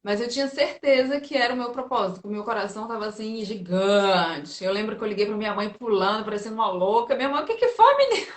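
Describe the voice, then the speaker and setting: A Brazilian woman, a physiotherapist and teacher, chatting on a live stream. She tells her stories with animated quoted voices, and her voice carries the echo of a roomy space. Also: medium pitch, fast talker. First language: Portuguese. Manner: lively storyteller, animated quoting. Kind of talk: casual live-stream interview.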